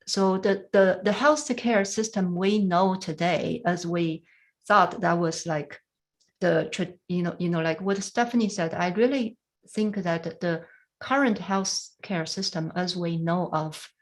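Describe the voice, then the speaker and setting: Middle-aged woman, Chinese accent, low-ish voice, gentle and hesitant testimony. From China. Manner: soft-spoken, halting, many fillers and restarts. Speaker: panel speaker. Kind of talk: reflective personal testimony.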